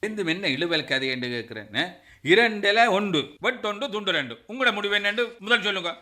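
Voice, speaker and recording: A bitter, scornful middle-aged brother-in-law with a Sri Lankan Tamil accent, as a man acts him out in a radio play. His voice is high for a man and very bright, with a forceful edge as he threatens and cuts off family ties. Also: animated, fast talker. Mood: angry. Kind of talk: radio drama acting.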